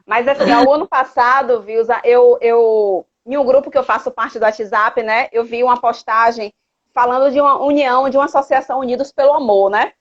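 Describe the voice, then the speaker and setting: Female live-chat host, Salvador Brazilian accent, highish voice, earnest and exhortative, dull lo-fi sound. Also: steady tone, a little fast. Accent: Brazilian, from Salvador, Bahia. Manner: earnest, exhortative, with frequent tag questions. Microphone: live social media video call. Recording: dull lo-fi livestream audio.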